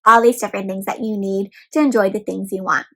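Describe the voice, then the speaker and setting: A woman's voice: mid-range voice, steady tone, quick pace, pushed and bright. A woman hosting an interactive picture-book read aloud for children, talking directly to them and asking encouraging questions.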